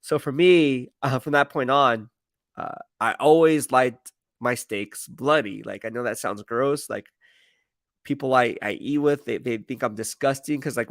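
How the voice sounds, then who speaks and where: Male sports streamer, gravelly edge, dry close sound, casual and emphatic, rambles and circles back on his points. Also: mid-range voice, normal speed, no echo. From San Francisco Bay Area, United States. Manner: rambling, slangy, emphatic, repeats himself. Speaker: sports streamer and football commentator. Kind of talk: casual live stream chat, answering viewer comments.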